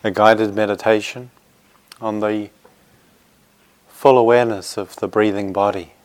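Smooth, low-voiced man guiding a meditation. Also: steady tone. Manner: gentle, reassuring, unhurried pauses between phrases. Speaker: meditation teacher. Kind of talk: guided meditation.

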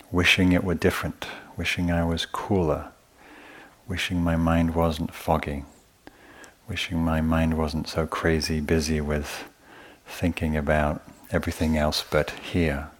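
A man teaching meditation in a gentle, unhurried way, often pausing between short phrases as he gives a guided talk at a retreat. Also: a bass voice, little pitch movement.

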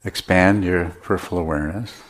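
A man teaching meditation, giving measured guided instructions with pauses between phrases. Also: low voice.

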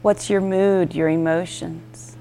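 A woman teaching a guided meditation, speaking in gentle, unhurried instruction with short, spaced phrases. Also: fairly low pitch, fairly even intonation, a little hiss or hum.

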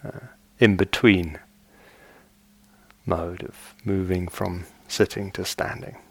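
A man guiding a meditation as a teacher, speaking gently and in measured short phrases with long pauses. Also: sits low, steady tone, creaky, breathy edge. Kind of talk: guided meditation. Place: meditation retreat hall.

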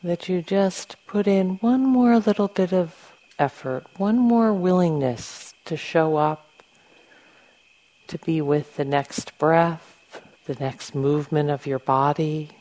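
Woman, meditation teacher, soft mellow tone, very slow pace, gentle delivery, long pauses. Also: low voice, rough recording. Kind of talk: guided meditation, softly instructing.

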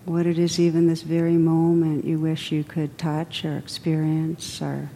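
A woman meditation teacher leading a guided meditation in a gentle, unhurried manner, pausing between phrases. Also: deep voice, monotone, slight background noise.

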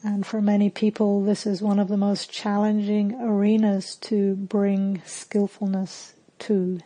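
Female meditation guide, medium pitch, deeply relaxed mellow tone, calm and soothing, slow delivery. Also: low-quality recording. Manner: calm, gentle, soothing, with long pauses. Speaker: meditation teacher.

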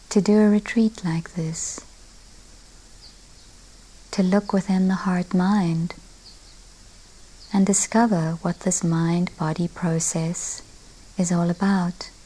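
A woman meditation teacher leading a guided meditation in a gentle, unhurried way with long pauses. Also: fairly low pitch, level tone, low-quality recording. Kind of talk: guided loving-kindness meditation.

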